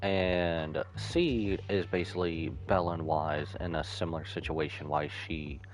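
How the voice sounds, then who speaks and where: A man's voice: deep voice, fairly even intonation, a little slow. A man giving casual playthrough commentary as a streamer, with wry, slangy, sweary asides. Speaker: streamer reacting to a game story.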